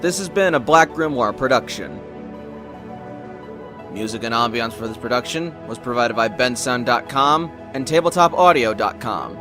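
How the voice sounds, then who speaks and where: A man's voice: mid-range voice, expressive, normal speed, over music. A man reading scripted, dramatic story narration.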